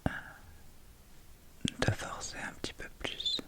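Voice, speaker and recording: A woman whispering an ASMR makeup tutorial, hushed and unhurried, talking through each step as she goes. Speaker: ASMR video creator. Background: soft thunderstorm ambience.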